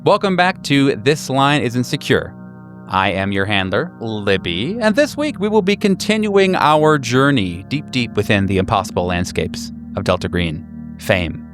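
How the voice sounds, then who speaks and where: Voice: medium pitch, very animated, measured pace, faint music behind. A man hosting a tabletop roleplaying show as game master, introducing it to the audience.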